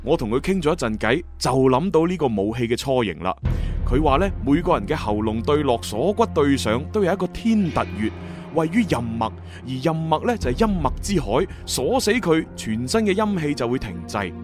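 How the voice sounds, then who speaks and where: A man performs as a boastful young secret agent from the future in a Cantonese radio drama with a Hong Kong accent. His delivery is highly animated and storyteller-like, with clear music playing behind him. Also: brisk. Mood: boastful, excited. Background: background music.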